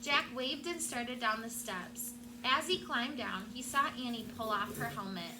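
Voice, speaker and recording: A woman teacher doing an expressive storybook read-aloud for her class. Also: fairly high pitch, unhurried. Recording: clear background room noise.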